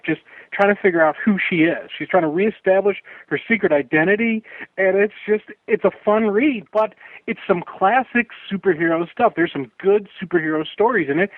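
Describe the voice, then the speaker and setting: A man's voice: high-pitched, dry recording. A man co-hosting a comics podcast, speaking in a laid-back, casual way with many fillers. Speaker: podcast co-host. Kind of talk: casual comic book chat. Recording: tinny phone-like line.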